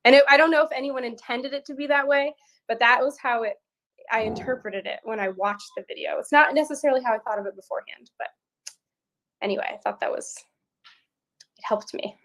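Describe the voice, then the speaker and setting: A woman who sings in a choir, talking softly on a video call and rambling a little. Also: a little high, brisk, low-quality recording. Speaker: choir singer. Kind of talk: casual video call talk.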